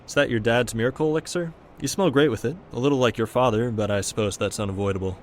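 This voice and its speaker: A man playing a smooth-talking, florid, wistful late-night jazz DJ in an amateur radio drama. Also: mid-range voice, fast talker, slight background noise, close to an everyday voice.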